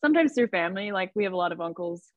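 A woman's voice: mid-range voice, expressive, rapid-fire, dry recording, muffled recording. A woman from Idaho, a podcast guest, chatting in an upbeat way and laughing often. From Idaho, USA. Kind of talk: casual conversation.

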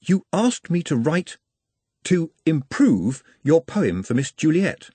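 A man's voice: on the high side, sing-song, slow pace, warm tone, slightly rough, no room sound, pitched above the performer's own voice, exaggerated voice. A man voicing a polite, nervous young man who speaks very formally, in an audiobook reading. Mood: deferential. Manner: formal, deferential.

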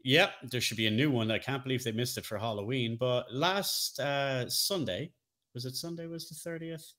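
A man's voice: medium pitch, moderate pace, a bit bright. A man co-hosting a casual podcast, talking in a chatty, jokey way. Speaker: co-host, streamer.

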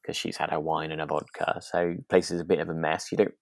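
A man's voice: very deep voice, flat delivery, fast, vocal fry, no room sound, studio quality. A man co-hosting a podcast in a casual style, tentatively thinking aloud as he talks. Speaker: podcast co-host. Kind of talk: relaxed casual discussion of a radio drama.

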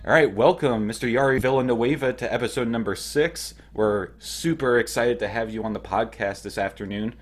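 A man's voice: mid-range voice, moderate pace, few pauses, over music. A man hosting a podcast, welcoming his guest and asking friendly, long-winded questions. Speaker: podcast host. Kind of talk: casual interview.

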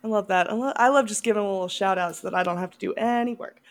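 A woman's voice: mid-range voice, very fast. A woman co-hosting a horror movie podcast in casual chat, often laughing as she talks.

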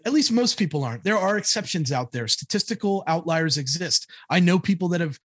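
Husky, blunt man telling his story. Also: on the high side, a little fast, dry recording, clean studio sound. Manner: blunt, animated, laughs often, frequent "like, you know". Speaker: podcast guest telling his life story. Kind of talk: candid conversational storytelling.

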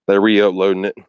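A man's voice: fairly low pitch, dry recording, highs cut off. A young man co-hosting a podcast in casual, profane banter, laughing often between jokes. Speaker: podcast co-host.

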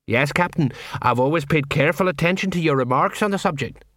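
A man's voice: on the high side, brisk, a bit bright, no echo, clean studio sound. A man voicing an earnest, polite young corporal of the city watch.

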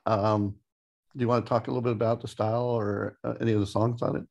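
Guy cohost, husky creaky voice, asks interview questions casually. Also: fairly low pitch, level tone, fast, darkish tone, highs cut off. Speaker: podcast co-host. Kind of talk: conversational interviewing.

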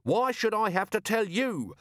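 A man's voice: medium pitch, animated, moderate pace, fairly bright tone, no echo, clean studio sound, close to an everyday voice. A man voicing a weary, irritable police commander with an exasperated, sardonic manner in a storybook reading.

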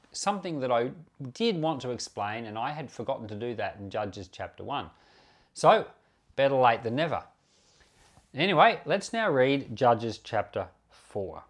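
A man speaking as a pastor, teaching from the Bible and reading scripture aloud to listeners. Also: medium pitch. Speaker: pastor and podcast host.

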